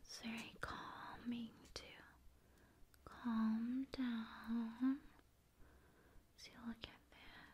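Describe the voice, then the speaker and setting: A woman speaks slowly in a calm, soothing ASMR style, drifting between soft talk and whispers. Her voice sits a little high yet stays mellow and dark, with very little melodic movement. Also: slight background noise. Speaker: ASMR video host.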